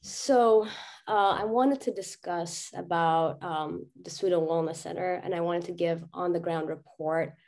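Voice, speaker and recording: Young female doctor as guest presenter, lowish voice, slightly hoarse from a cold. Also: steady tone, measured pace, darkish tone. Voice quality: slightly raspy. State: getting over a cold, voice worn from chanting. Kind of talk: presentation with slides. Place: online video conference.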